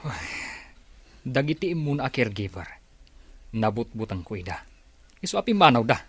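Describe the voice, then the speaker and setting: A man's voice: mid-range voice, very animated, moderate pace, fairly bright tone, breathy edge, dry recording. An actor playing a defensive, agitated male henchman in a radio drama, making excuses. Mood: uneasy.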